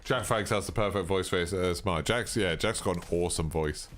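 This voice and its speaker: Relaxed British male streamer with a deep voice, easygoing chat over loud game gunfire. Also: fairly quick, a little hiss or hum. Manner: laid-back, chilled delivery. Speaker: gaming streamer. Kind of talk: casual live-stream chat over gameplay. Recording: gameplay gunfire and clicks behind.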